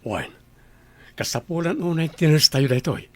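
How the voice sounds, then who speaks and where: A man's voice: on the high side, animated, normal speed, darkish tone, lightly acted. An actor playing a father in charge at a clinic, who questions others in a matter-of-fact way. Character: concerned father, a boss at a clinic.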